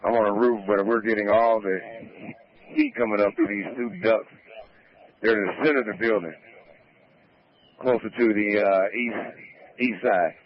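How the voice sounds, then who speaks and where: A man's voice: on the low side, animated, moderate pace, pauses often, dry recording. A man talking as a firefighter over a two-way radio at a fire scene. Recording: dull lo-fi scanner radio audio.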